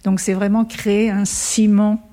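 A woman explains her association's approach in an earnest, patient interview. Her voice is mellow and warm at an ordinary pitch. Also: flat delivery, unhurried. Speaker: association president. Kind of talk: interview answers.

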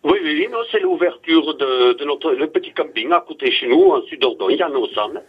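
A man calls in to a radio show, halting often as he searches for the right words. His husky voice comes over a thin, tinny phone line. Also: a little high, sing-song. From Netherlands. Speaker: radio caller.

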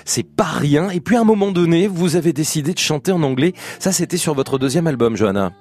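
A male radio host presents a live interview in a husky voice. He is effusive and full of admiration for the guest. Some faint music sits behind the voice. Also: a little high, animated, a little fast, a little mellow.

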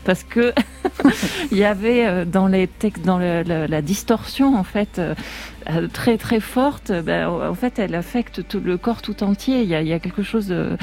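A woman's voice: mid-range voice, expressive, normal speed, darkish tone. A woman musician guest on a radio show, speaking thoughtfully and with frequent hesitations. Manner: thoughtful, reflective, many hesitations. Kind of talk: radio interview conversation.

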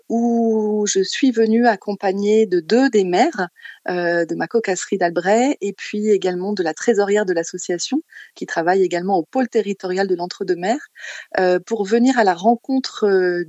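A woman's voice: mid-range voice, a little mellow, dry recording. A woman with an accent from France giving spontaneous interview answers as a guest, searching for words and restarting phrases. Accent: French from France. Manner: halting, searching for words, repeating phrase starts. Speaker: interview guest, community association organiser.

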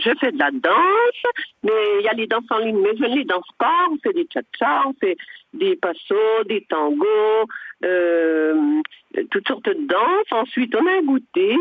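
Woman in her 60s phoning in to radio, lots of euhs, lively up-and-down voice, sounds dry and tinny. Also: fairly high pitch, slightly rough, no echo. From eastern France. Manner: chatty, hesitant with many fillers. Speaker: caller. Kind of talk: phone-in chat. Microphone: telephone. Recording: tinny phone line.

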